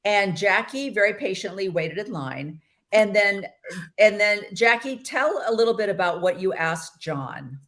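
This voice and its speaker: A woman's voice: medium pitch, expressive, unhurried, a bit bright. A middle-aged woman hosting a casual interview over a video call, chatty and rambling. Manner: chatty, laughs easily, rambling. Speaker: host.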